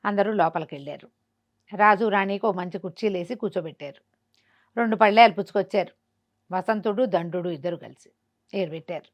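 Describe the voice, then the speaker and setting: A woman's voice: medium pitch, fairly quick, pauses often. A woman with a Telugu accent telling a folk tale conversationally, expressively acting out the dialogue of its characters. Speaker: storyteller.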